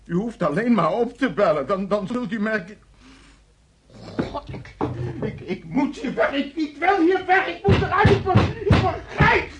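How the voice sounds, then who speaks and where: A man's voice: very high-pitched, expressive, unhurried, a bit bright, a little hiss or hum, exaggerated voice. An actor playing a panicked detained man in a radio drama, pleading and stammering as he insists he is innocent. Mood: panicked, desperate.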